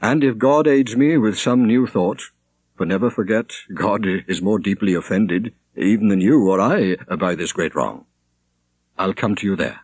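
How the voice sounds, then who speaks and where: A man voicing a shrewd, kindly older monk in an audiobook, measured, thoughtful and gently wry. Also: on the low side, animated, no echo. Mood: shrewd, pondering. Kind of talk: audiobook character reading.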